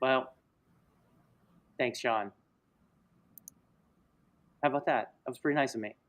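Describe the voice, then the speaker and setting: A man's voice: mid-range voice, measured pace, fairly bright tone. A man hosting a casual livestream, rambling and joking, often slipping into silly voices. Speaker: livestream host.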